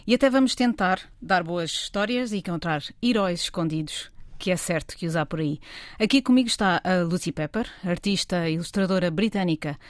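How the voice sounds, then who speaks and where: A woman's voice: lowish voice, fairly quick, no room sound, studio quality. Emphatic Portuguese woman radio host. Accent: European Portuguese. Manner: lively, emphatic, rhetorical. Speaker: radio show host and journalist. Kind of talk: radio talk show chat. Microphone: studio microphone.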